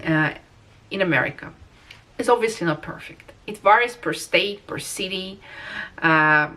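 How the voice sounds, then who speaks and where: A woman's voice: fairly low pitch, bright, forceful tone, distant mic, rough recording. A woman in her thirties from Russia with a Russian accent, talking through a personal vlog in a hesitant way, with many fillers and restarts. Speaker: vlogger.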